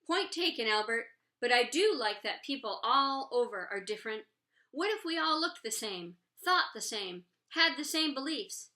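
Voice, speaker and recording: A woman's voice: fairly high pitch, animated, moderate pace, bright, forceful tone, reverberant, voice pushed up. A woman voicing an encouraging male schoolteacher addressing his class in a storybook, with emphatic delivery. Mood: encouraging, approving.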